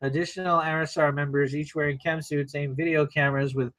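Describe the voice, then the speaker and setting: A man's voice: fairly high pitch, level tone, a little fast, a bit bright. A man reading stage directions aloud as narrator of a screenplay table read, in a dramatic present tense.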